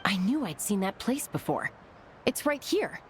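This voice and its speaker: A woman's voice: mid-range voice, big pitch swings, normal speed, very noisy, close to an everyday voice. A woman voicing a level-headed, observant young female adventurer in an anime-style video game, composed and direct. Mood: assured.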